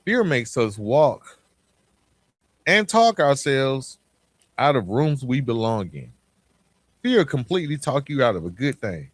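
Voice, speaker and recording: Male, middle-aged American podcast host. Mid-range pitch, dry close sound, colloquial and emphatic motivational delivery. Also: very animated, a little slow, pauses often, dry recording. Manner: emphatic, colloquial, direct address with rhetorical questions and repetition. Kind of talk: motivational solo podcast talk.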